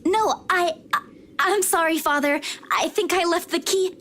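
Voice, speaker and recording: A woman's voice: very high-pitched, unhurried, a bit bright, a little hiss or hum, voice pushed up. A woman voicing a nervous, apologetic young woman who stammers, in a visual novel. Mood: flustered, apologetic.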